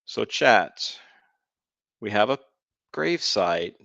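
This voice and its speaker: A man's voice: fairly low pitch, big pitch swings, slow, creaky, fairly bright tone, no room sound. A man streaming a game, chatting casually with his viewers and laughing often. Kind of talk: casual live-stream commentary. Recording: dull lo-fi dry sound.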